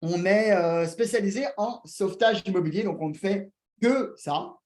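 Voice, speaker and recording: Mellow man hosting an online talk. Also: high voice, moderate pace. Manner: lively, addresses audience directly with questions. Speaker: host of a real estate webinar. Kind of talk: presenting to an online audience. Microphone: video call.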